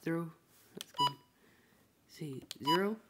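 A man's voice: fairly high pitch, takes it slowly. A man casually narrating a hands-on setup, muttering to himself in short fragments, recorded indoors on a handheld device. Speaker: hobby video maker. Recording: very noisy handheld home recording with beeps. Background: loud noise, button clicks and electronic beeps.

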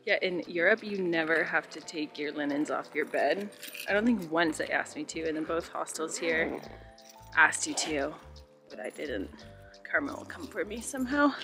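A woman's voice: low voice, expressive, normal speed, over music. A woman in her thirties talking to a camera held at arm's length, chatting casually and rambling upbeat as a travel vlogger. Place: hostel grounds, car and home bedroom.

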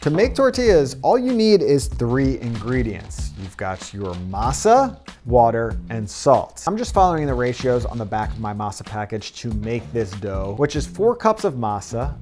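A man in his thirties hosting a casual cooking tutorial in his home kitchen, upbeat and chatty as he explains each step into a lapel mic. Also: medium pitch. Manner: upbeat, conversational, explains as he cooks. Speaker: cooking channel host.

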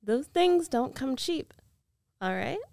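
A woman's voice: high-pitched, big pitch swings, takes it slowly, creaky, darkish tone, no room sound, heavily acted. A woman playing a confident, possessive rich ex-girlfriend in an ASMR roleplay, coaxing and teasing in a self-assured way. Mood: insistent, possessive.

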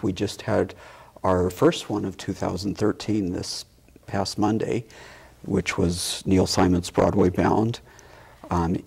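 A middle-aged man with a gravelly, husky voice, explaining things in a halting, measured way as an interview guest. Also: deep voice, a little mellow. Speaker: theatre board member and director, guest. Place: small TV studio, seated at a table. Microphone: lapel mic.

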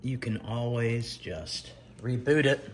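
A middle-aged man talks casually through a home show-and-tell in a medium-pitched voice. He speaks off the cuff and often pauses mid-sentence. There is some room noise behind him, as from a camera set a little way off indoors. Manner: halting, pausing mid-sentence. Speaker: retro computer vlogger.

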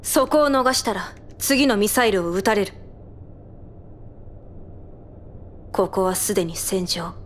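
A woman's voice: medium pitch, lively intonation, moderate pace, slight background noise, close to an everyday voice. A woman voice actor playing a cool, resolute warship captain with a terse, commanding delivery in a military audio drama. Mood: grave. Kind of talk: Japanese anime-style military radio drama acting.

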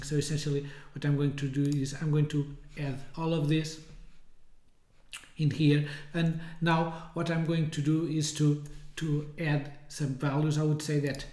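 A man in his thirties giving an informal, hesitant software demonstration, often rephrasing as he goes. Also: fairly high pitch. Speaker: software tutorial presenter. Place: indoors, small room with window blinds. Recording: roomy, echoey indoor sound.

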